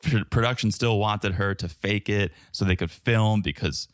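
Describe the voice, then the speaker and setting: A man's voice: on the low side, moderate pace, clean tone, dry recording. A man with a Southern American accent co-hosting a reality TV podcast in casual chat, with dry asides. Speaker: podcast co-host.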